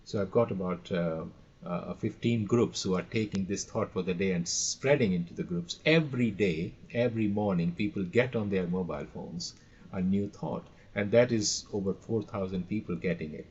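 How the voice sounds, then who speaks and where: A man's voice: low voice, normal speed, a little mellow, husky. A middle-aged or older man with an Indian accent answering interview questions as a guest trainer, telling anecdotes and repeating phrases for emphasis. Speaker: audio and radio trainer, podcast guest. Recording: dull, lo-fi call audio.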